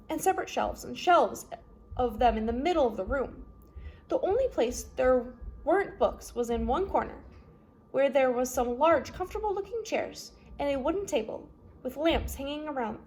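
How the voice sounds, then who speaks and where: A woman's voice: sits high, a little mellow. A woman narrating a children's novel as a read-aloud storyteller.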